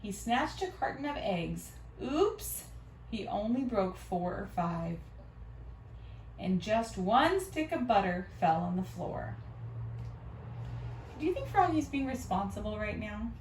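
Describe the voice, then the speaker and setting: Animated woman reading to children, roomy sound. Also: mid-range voice, slow pace, pauses often. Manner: expressive, teacherly. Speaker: storybook reader for children. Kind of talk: animated read-aloud with questions to children. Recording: roomy, distant mic, faint noise.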